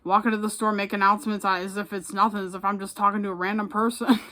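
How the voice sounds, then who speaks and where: A woman's voice: mid-range voice, flat delivery, fast, a bit bright. Casual, rambling American woman. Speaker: public address announcer and vlogger. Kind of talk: casual chat to camera.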